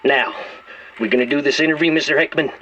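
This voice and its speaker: An aggrieved, volatile hostage-taker in his forties, pitched a little high with a hard, forceful edge. He rants profanely and repeats himself in folksy bursts, heard down a dull telephone line in a radio drama. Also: fast talker, a little hiss or hum. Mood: impatient, insistent. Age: 40s. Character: volatile, foul-mouthed 44-year-old male hostage-taker, aggrieved. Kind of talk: naturalistic audio drama acting.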